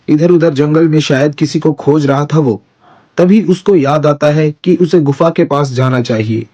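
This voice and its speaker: A man's voice: fairly high pitch, darkish tone. A man narrating an animal story for children as its storyteller.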